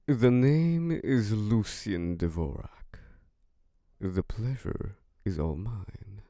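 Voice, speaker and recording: Ancient vampire lord, played by a man: very deep, unhurried, flirtatious and possessive, in an ASMR drama. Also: expressive, no echo, studio quality. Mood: seductive. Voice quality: deep, gravelly. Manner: slow, velvety and flirtatious, with soft laughs. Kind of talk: ASMR roleplay audio drama.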